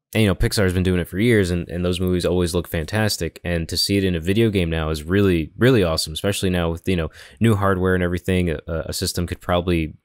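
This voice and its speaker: A man with a low, deep voice co-hosts a gaming podcast. He talks in a loose, informal, descriptive way, as in casual chat. The sound is very dry, with hardly any room. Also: dry recording. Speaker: video game podcast co-host. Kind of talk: casual chat about video games.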